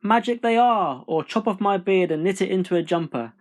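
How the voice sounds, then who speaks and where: A man voicing a croaky little bearded bean seller in a storybook. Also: sits high, expressive, a little fast, pitched above the performer's own voice. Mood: sly, boastful.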